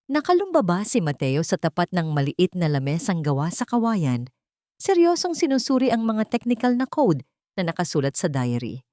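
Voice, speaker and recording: Low-voiced woman narrating a drama. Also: a little mellow, no echo, clean studio sound.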